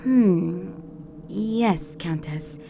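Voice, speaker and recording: A woman's voice: lowish voice, drawn-out words, noisy background. A woman voicing a deferential human guard serving a vampire countess, formal and earnest, in a scripted audio roleplay. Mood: dutiful, reporting.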